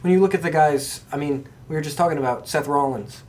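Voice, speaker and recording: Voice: medium pitch, fast talker, a little mellow, slight background noise. A podcast co-host talking casually and agreeably in an informal wrestling discussion.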